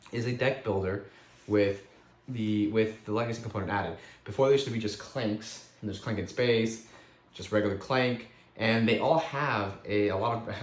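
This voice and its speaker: A man with a voice still hoarse from a cold, casually reviewing a board game at home and rambling with many self-corrections. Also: lowish voice, roomy sound.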